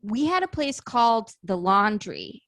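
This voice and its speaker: A woman's voice: medium pitch, sing-song, unhurried, a bit bright, no room sound, clean studio sound. A young woman from Illinois, a podcast guest, reminiscing about her hometown in a rambling, giggly way with many fillers. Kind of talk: casual chat.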